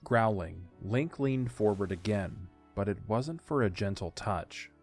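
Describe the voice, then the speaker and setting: A man's voice: on the low side, expressive, unhurried, darkish tone, soft background music. A man narrating a scripted audio drama as its storyteller.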